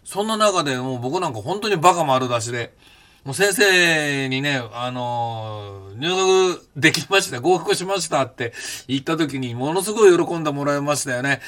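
A man in his fifties, hosting a podcast and recounting his past in a casual, blunt and animated way. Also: a little high, normal speed. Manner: animated, blunt storytelling with rough casual speech. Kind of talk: casual spoken memoir.

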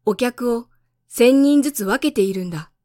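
A woman's voice: on the high side, animated, normal speed, darkish tone, no room sound, studio quality, natural voice. A woman voicing a matter-of-fact railway switchman in a storybook reading, blunt and plain-spoken, a little world-weary.

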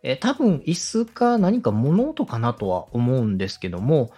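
Husky, lively male podcast host. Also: medium pitch, normal speed, soft background music. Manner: polite, explanatory, methodical. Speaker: podcast host, radio director coaching a co-host. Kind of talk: structured advice talk for a podcast.

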